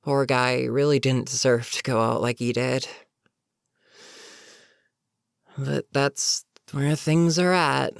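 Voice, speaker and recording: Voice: medium pitch, creaky, no echo, clean studio sound, close to an everyday voice. A performer voicing a weary goblin captain in a roleplay audio, chatting casually and sardonically with a captive, amused yet businesslike. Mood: rueful. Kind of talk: immersive character roleplay monologue, conversational.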